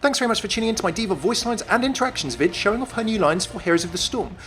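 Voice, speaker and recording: Brisk, animated man, higher-pitched. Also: a little hiss or hum. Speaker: YouTube gaming presenter. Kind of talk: scripted video narration.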